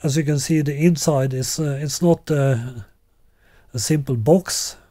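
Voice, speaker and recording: Slow, halting older man, mellow voice. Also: on the high side, steady tone, no echo, clean studio sound. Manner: halting, deliberate, with long hesitations. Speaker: loudspeaker designer presenting a product. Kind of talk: unscripted product explanation. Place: small living room, indoors.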